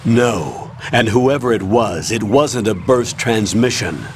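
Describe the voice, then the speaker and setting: An actor playing a stern, authoritative older colonel who briefs firmly and formally in a video game. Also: male voice, on the low side, slow pace, slight background noise. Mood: stern, flat. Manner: firm, formal, matter-of-fact. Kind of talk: dramatic game voice acting.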